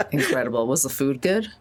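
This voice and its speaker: A woman's voice: very deep voice, husky. A woman playing a tabletop roleplaying game, chatting casually and improvising as she goes.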